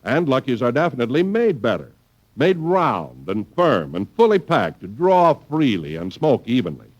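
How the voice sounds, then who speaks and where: Old radio announcer guy, smooth salesy read, lively voice. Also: mid-range voice, normal speed, dull audio. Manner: smooth, persuasive sales delivery. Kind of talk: 1950s radio commercial reading.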